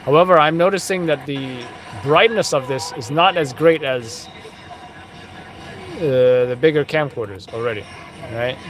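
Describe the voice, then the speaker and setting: A man's voice: mid-range voice, a little slow, fairly bright tone, poor audio. A man hosting a casual live commentary, chatting and explaining police radio codes while watching footage. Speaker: host.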